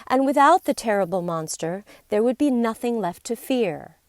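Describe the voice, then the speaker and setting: A woman narrating a scripted story in a deliberate, theatrical way, with dramatic pauses and emphasis. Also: a little high, expressive, a little mellow, no room sound. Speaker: podcast storyteller.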